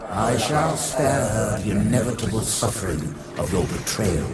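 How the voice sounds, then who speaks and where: An actor playing an ancient, menacing machine guardian in a video game, taunting in grandiose, archaic and formal speech. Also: male voice, lowish voice, animated, moderate pace, heavy background noise, close to an everyday voice. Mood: menacing, solemn. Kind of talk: theatrical video game villain acting. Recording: loud cinematic music and effects behind.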